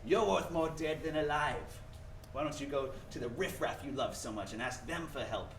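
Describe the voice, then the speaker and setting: A man's voice: medium pitch, moderate pace, distant mic, natural voice. Man playing a sneering old rich banker, echoey stage. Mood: gloating, scornful. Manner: mocking, contemptuous. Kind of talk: 1940s radio play acting. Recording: echoey stage, background noise.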